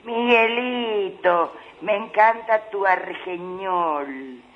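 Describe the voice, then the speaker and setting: A woman leaving a slow, affectionate recorded phone message for a radio show. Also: medium pitch. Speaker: caller. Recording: muffled phone message with faint hiss.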